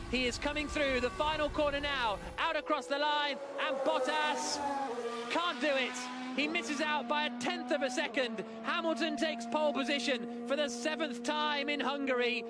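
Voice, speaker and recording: A man giving brisk, excited live motor racing commentary on radio. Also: very high-pitched.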